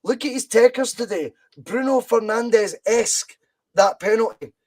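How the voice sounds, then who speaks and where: A man's voice: extremely high pitch, normal speed. A Scottish man hosting a podcast, talking football with passion and repeating points for emphasis. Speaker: podcast host. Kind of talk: casual football chat.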